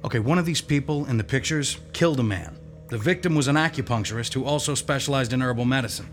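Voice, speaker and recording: A man's voice: mid-range voice, fast, soft background music, lightly acted. A man playing a blunt police detective. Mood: questioning. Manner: blunt, questioning. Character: male police detective, skeptical questioner.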